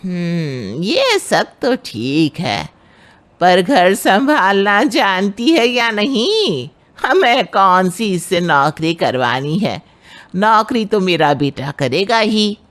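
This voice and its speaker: A woman's voice: medium pitch, sing-song, takes it slowly. A woman playing a traditional, demanding middle-aged mother of a groom, questioning and judgmental, in a cartoon family drama.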